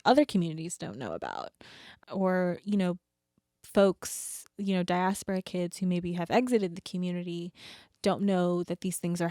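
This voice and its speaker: A woman's voice: lowish voice, warm tone, no room sound, clean studio sound. A young woman co-hosting a casual podcast, talking earnestly with frequent laughs and "like". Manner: earnest, laughs often, lots of "like". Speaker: podcast co-host. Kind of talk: casual chat.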